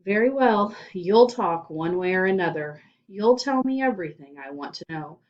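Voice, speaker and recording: A woman voicing a menacing male school principal from a children's storybook, with barking, deliberate, intimidating questioning, in a dull lo-fi recording. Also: mid-range voice, lively intonation, distant mic, natural voice. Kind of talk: children's storybook read-aloud.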